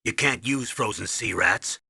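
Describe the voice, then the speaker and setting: An actor playing a stern, urgent military colonel who gives mission orders in a commanding, clipped way in a video game. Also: male voice, on the low side, measured pace, gravelly, sharp tone, breathy edge, no room sound, heavily acted. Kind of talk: dramatic video game radio briefing.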